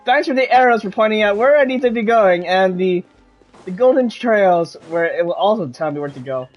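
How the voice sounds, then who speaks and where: A man's voice: high voice, lively intonation, a little fast, low-quality recording. A man giving casual, offhand commentary as he streams a video game, with game music behind him.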